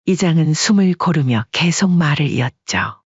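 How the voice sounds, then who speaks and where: A narrator reading a family drama in calm, measured scripted narration. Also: medium pitch, husky, no room sound, lo-fi sound.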